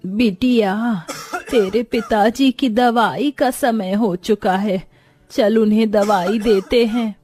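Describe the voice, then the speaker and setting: A woman voicing a caring, worried mother of a poor village family in a story, gentle and concerned. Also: mid-range voice, steady tone, slow pace, faint music behind.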